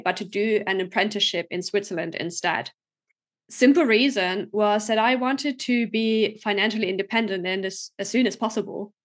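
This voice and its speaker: A woman's voice: mid-range voice, fairly bright tone. A woman in her thirties from Germany with a slight German accent touched by British English, hosting a podcast and telling her story in an upbeat, chatty way. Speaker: podcast host and coach. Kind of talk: casual solo podcast storytelling.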